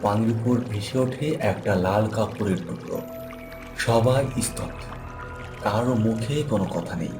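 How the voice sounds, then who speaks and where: A man's voice: lowish voice, unhurried. A man reading scripted narration for a horror story. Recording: music behind the voice.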